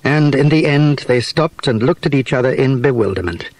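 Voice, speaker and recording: Casting: man as storybook narrator, expressive mid-pitched delivery, dry recording. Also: normal speed, dry recording, low-quality recording.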